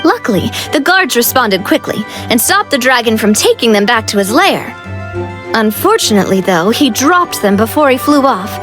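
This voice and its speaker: A woman's voice: on the high side, big pitch swings, normal speed. A woman playing a confident, upbeat young female leader in a video game, breezy and self-assured in her delivery. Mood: informative. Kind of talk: animated game dialogue. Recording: music playing behind the voice. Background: game music.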